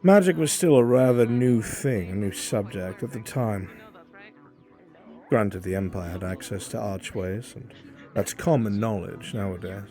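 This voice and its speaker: A man playing a solemn, philosophical imperial in a fantasy roleplay, speaking in a measured, brooding way with deliberate pauses. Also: fairly low pitch, warm tone. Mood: grave, brooding. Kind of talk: improvised fantasy roleplay acting. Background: background music.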